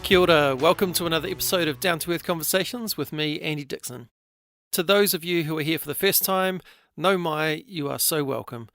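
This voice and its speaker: Brief: middle-aged New male podcast host, higher pitched, gravelly, earnest, close dry mic. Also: brisk, a bit bright, no room sound, soft background music. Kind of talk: scripted intro then casual interview.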